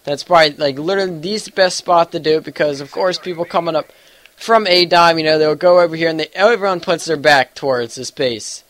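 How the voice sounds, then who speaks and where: A young man with a stuffy, allergy-congested voice talks through gameplay in a loose, rambling way. His voice sits a little high for a man, and he keeps hedging with fillers as he goes. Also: a little fast, fairly bright tone, no echo. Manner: rambling, full of fillers and hedges. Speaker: gaming YouTuber. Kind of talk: casual gameplay commentary.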